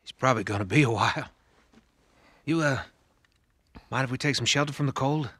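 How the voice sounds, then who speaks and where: This is a husky, breathy American survivor leader from a video game. He talks in a measured, folksy way, pausing and hesitating as he persuades. Underneath the ingratiating softness sits a quiet menace. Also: mid-range voice, no echo. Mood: coaxing. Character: soft-spoken, ingratiating adult male survivor leader with hidden menace. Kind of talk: naturalistic video game acting.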